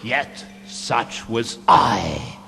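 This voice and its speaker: A man in a live radio drama playing the tormented ghost of a dead miser, mournful and theatrical, with a gravelly voice. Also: on the low side, sing-song, pushed and bright, a little hiss or hum, heavily acted. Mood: anguished wailing. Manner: slow, mournful, theatrical wailing and declaiming. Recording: long hall reverb with music behind.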